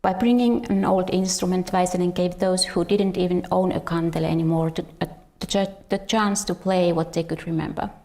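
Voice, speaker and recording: A woman with a Finnish accent presents research in an academic lecture. Her voice sits a little low and carries plenty of vocal fry. She reads from prepared notes in a halting way, sometimes stumbling and repeating a word. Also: steady tone, darkish tone. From Finland. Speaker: researcher and musician presenting at a conference. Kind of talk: academic lecture, partly read from a script.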